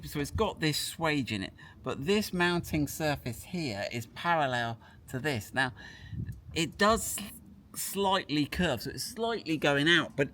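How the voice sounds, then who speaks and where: A man's voice: mid-range voice, fairly bright tone. A man giving a casual hands-on product demonstration, chatting and thinking aloud as he works. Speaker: product presenter. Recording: clear background noise.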